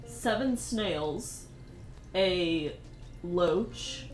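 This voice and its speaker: Soft-spoken young woman streamer, slow. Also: on the low side, lively intonation, poor audio. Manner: soft-spoken, laughs often, rambling. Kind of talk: casual livestream chat while drawing. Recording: faint music behind. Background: faint background music.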